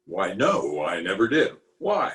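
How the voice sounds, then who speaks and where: A man's voice: deep voice, huge pitch swings, a little slow, fairly bright tone, echoey room, exaggerated voice. A man playing a pompous, easily exasperated middle-aged official in an old-time radio comedy, recorded at home over a video call. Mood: curious. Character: blustery, exasperated middle-aged male water commissioner, pompous and opinionated.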